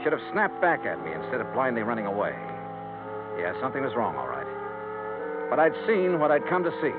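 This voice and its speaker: A hard-boiled male insurance investigator from a radio noir serial. He speaks in a dry, clipped, sardonic way at a middling pitch. The sound is a narrow, tinny old broadcast with music clearly behind it. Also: wildly swooping pitch, a little fast. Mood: wry, brooding. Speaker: lead actor and narrator. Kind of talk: 1950s radio noir acting, narration.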